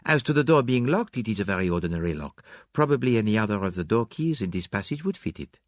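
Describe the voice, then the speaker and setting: A man voicing an excitable, theatrical foreign detective, emphatic and dramatic, in an audiobook. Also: mid-range voice, moderate pace, slightly rough, no echo, studio quality, pitched above the performer's own voice. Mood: brooding. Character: excitable, theatrical foreign male detective, fastidious and self-reproaching.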